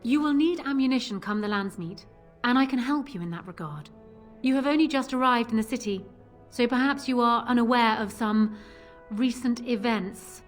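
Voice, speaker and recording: Woman playing a high-voiced, proud young queen. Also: faint music behind, close to an everyday voice. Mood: urgent, earnest. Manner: formal, assertive, measured. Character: proud, shrewd young widowed queen, daughter of a general. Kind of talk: fantasy game voice acting.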